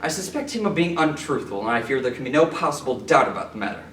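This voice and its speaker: A man performing a comic period monologue as an indignant, pompous Victorian gentleman, orating formally. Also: medium pitch, big pitch swings, fast. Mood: affronted, haughty. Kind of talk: theatrical period comedy monologue. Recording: roomy, distant mic, background noise.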